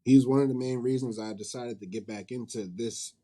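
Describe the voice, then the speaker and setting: A man's voice: medium pitch, steady tone, brisk, mellow. A man being interviewed in a casual, informal and rambling way, often correcting himself as he goes. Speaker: interview guest, manga company head and creator.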